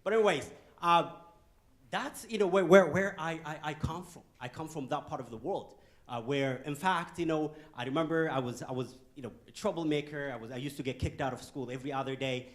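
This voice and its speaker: A man from Ethiopia, giving a joking, animated motivational talk to a youth audience in a conference hall and throwing questions at the crowd. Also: fairly high pitch, fairly quick. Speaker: guest speaker.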